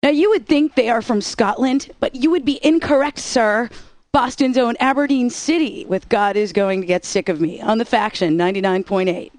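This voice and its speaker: A woman's voice: fairly high pitch, normal speed, a little mellow, dry recording. A woman radio DJ with sassy, playful patter between songs.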